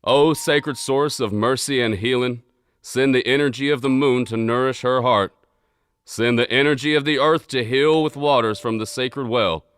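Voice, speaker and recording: A man playing a gracious, caring, brooding father with a somber baritone and a syrupy Southern accent. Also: fairly even intonation, normal speed, a bit bright, clean tone, no echo, studio quality, close to an everyday voice. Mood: prayerful. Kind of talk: audio drama acting.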